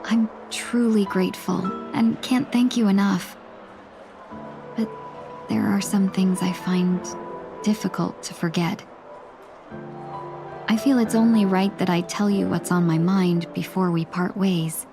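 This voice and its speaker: An actress voicing a gracious, refined young woman in a video game, speaking politely in a measured, gentle, wistful way. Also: mid-range voice, steady tone, natural voice. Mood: earnest. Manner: polite, measured, softly formal. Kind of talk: English video game voice acting. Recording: game audio with music underneath. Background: background music.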